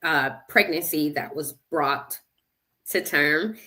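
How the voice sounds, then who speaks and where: A woman's voice: deep voice, slow, bright, forceful tone. A woman from Connecticut, a podcast guest, telling her life story in a reflective, faith-centered way. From United States (Connecticut). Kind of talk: personal storytelling in conversation.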